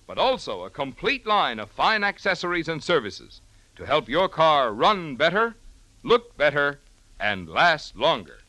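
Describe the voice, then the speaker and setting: A man's voice: a little high, pushed and bright, dry recording. An American man announcing in polished, emphatic 1940s radio style, reading scripted sponsor copy.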